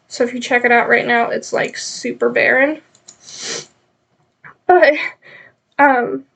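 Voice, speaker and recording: A woman's voice: sits high, gravelly, a bit bright. A woman with a stuffed-up nose chatting casually and rambling on a live knitting stream, with many ums.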